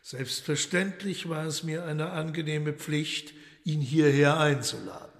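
Slow, reverberant, suave devil lord. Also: male voice, a little high, fairly even intonation. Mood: smoothly courteous. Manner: theatrical, ceremonious, deliberate. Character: suave devil lord, courteous, menacing, philosophical host. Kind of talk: German radio play acting. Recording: long hall reverb under loud music.

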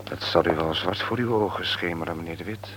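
An actor playing a smug, condescending office rival in a radio play, talking in a formal, patronising way. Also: male voice, sits low, quick pace, over music, lightly acted. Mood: gloating. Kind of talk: Dutch radio play acting. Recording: old radio recording, faint hum and noise.

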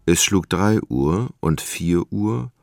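A man narrating a literary radio drama in a dramatic, measured storytelling manner. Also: sits low, animated, gravelly, a little mellow, faint music behind.

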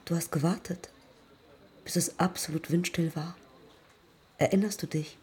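A woman's voice: lowish voice, fairly even intonation, moderate pace, darkish tone, husky. An actress playing an eager, headstrong young woman pilot of the 1920s in a radio play, telling her story reflectively in clipped fragments. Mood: tense, musing. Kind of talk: radio play monologue and dialogue.

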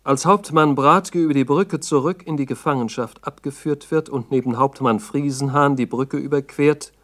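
A man narrating a 1960s documentary radio drama in a sober, factual manner with measured diction. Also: medium pitch, a bit bright.

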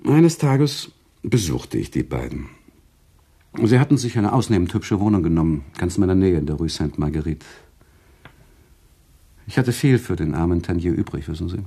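A sympathetic author telling a story in a radio drama. His low, mellow voice has a gravelly edge, and he narrates deliberately and expressively. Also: big pitch swings, exaggerated voice. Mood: engaged. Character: thoughtful, sympathetic male storyteller, the author himself. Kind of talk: German radio play narration in dialogue.

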